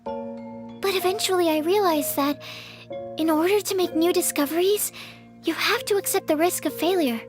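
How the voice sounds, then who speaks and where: A woman playing a gentle, encouraging young alchemist's assistant in a video game, explaining things patiently. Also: extremely high pitch, moderate pace, pauses often. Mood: reflective. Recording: game music behind the voice.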